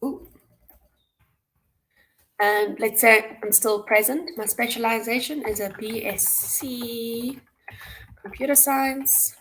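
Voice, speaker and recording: A woman's voice: fairly high pitch, steady tone, slow pace, pauses often, fairly bright tone, slight background noise, poor audio. A woman with a South African accent hosting an online walkthrough, explaining things informally step by step. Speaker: hackathon host and presenter. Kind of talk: live platform walkthrough, instructional. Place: indoor room, on a livestream webcam.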